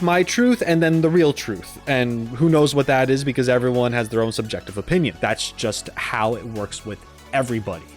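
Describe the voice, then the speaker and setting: A man hosting a gaming reaction video, giving casual, informal and expressive commentary. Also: medium pitch. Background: music under the voice.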